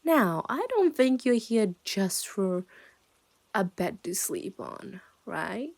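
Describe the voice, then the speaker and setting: A woman playing a smug, possessive yandere kidnapper in an ASMR roleplay, teasing the listener and drifting into breathy whispers. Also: mid-range voice, big pitch swings, takes it slowly, exaggerated voice. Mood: possessive, coaxing.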